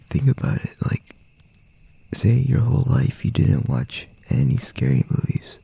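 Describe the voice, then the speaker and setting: Man playing a slow, husky, whispery boyfriend. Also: exaggerated voice. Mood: soothing, reflective. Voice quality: soft, breathy, husky, gravelly low murmur. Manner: unhurried, rambling, reassuring, with pauses. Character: gentle, teasing young boyfriend comforting a scared partner. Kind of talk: intimate ASMR boyfriend roleplay.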